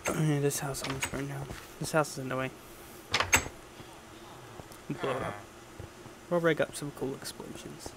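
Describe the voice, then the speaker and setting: A man's voice: mid-range voice, little pitch movement, brisk, heavy background noise. A man giving casual gameplay commentary in an offhand way, muttering to himself as he records an audio log. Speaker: gamer recording audio logs.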